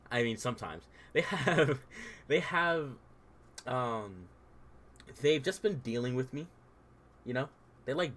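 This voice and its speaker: A young man who stutters at times, talking candidly in a loose, informal way and saying "bro" and "like" over and over, as a streamer and dungeon master. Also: mid-range voice. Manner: informal, says "bro" and "like" a lot. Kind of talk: casual heartfelt rant on stream.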